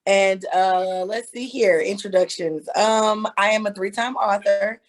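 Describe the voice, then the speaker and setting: A woman's voice: medium pitch, steady tone, unhurried, low-quality recording. A woman in her thirties, a hairstylist and author, talking candidly as an interview guest. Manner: candid, storytelling, laughing. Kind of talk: casual interview.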